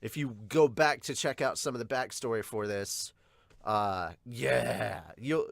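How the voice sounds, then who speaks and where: A man hosting a casual manga reaction, talking enthusiastically in a halting, digressive way. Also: medium pitch, gravelly, dry recording. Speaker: podcast host.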